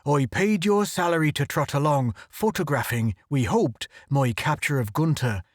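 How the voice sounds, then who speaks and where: A man's voice: fairly high pitch, normal speed, no room sound, clean studio sound, close to an everyday voice. A man voicing a big, brash Irish adventurer with an Irish accent and a swaggering, joking manner. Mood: teasing. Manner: bluff, swaggering, jokey.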